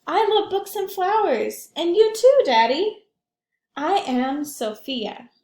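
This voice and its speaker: A woman voicing an eager, affectionate young girl from a storybook, exclaiming with drawn-out emphasis. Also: very high voice, sing-song, darkish tone, reverberant, pitched above the performer's own voice, exaggerated voice. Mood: delighted, dreamy.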